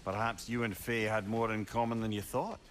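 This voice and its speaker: An actor playing a knowing old sage companion in a video game, speaking in a wry, reflective, lilting manner. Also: male voice, lowish voice, little pitch movement, a little fast, lightly acted. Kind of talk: video game drama acting. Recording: noticeable background ambience noise.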